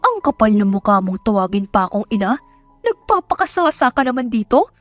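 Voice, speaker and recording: A woman voicing a cruel middle-aged provincial mother in an audio drama, shouting scornful, scolding questions at her daughter. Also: a little high, sing-song, brisk, soft background music. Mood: furious. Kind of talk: Tagalog audio drama acting.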